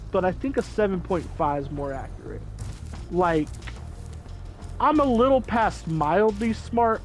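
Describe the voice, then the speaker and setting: A young man hosting a gaming video, rambling in casual commentary full of "like" fillers. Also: high-pitched. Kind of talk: casual let's-play commentary. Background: faint game music.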